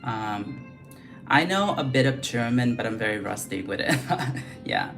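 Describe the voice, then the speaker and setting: A woman's voice: very deep voice, expressive, measured pace, gravelly, fairly bright tone, faint music behind. Chatty Vietnamese-accented woman vlogger. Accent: Vietnamese mixed with Australian English. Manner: chatty, frank, reads viewer questions aloud. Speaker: beauty vlogger doing a livestream. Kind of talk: casual livestream chat while doing makeup.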